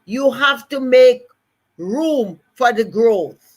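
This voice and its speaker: Older Jamaican woman, motivational speaker, slow and emphatic, slightly high voice, repeats key lines. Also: lively intonation. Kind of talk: motivational live-stream talk.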